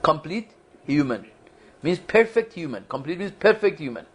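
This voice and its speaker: A man's voice: on the high side, big pitch swings, moderate pace, slight background noise. A man giving a religious study lecture to a small group, talking conversationally, quizzing the audience and often restarting his sentences. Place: lecture room at a community foundation.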